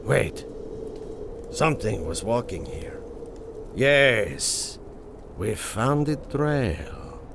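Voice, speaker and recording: An actor playing a proud, stern mountain guide and hunter in an adventure radio serial, speaking with imperious scorn. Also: male voice, medium pitch, sing-song, extremely slow, creaky, pauses often, background noise, full character voice. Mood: scornful. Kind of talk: old-time adventure serial radio acting.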